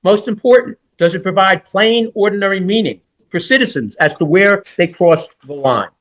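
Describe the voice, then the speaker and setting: High-pitched older man arguing in court. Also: expressive, moderate pace, a bit bright. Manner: rhetorical, emphatic, repetitive. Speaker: defense lawyer. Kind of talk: courtroom oral argument.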